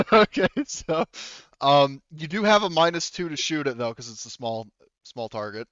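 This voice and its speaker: A man's voice: a little high, moderate pace, a bit bright, no echo, highs cut off. A man casually refereeing a tabletop game as game master, thinking aloud in an informal way.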